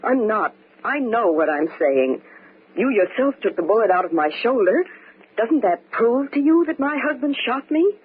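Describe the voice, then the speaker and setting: A woman's voice: on the high side, very animated, moderate pace, slightly rough, exaggerated voice. An actress playing a frightened, desperate married woman with a pleading, dramatic delivery in a 1940s radio mystery. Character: frightened, desperate young married woman convinced of murder plot. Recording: hissy, narrow-band old radio broadcast.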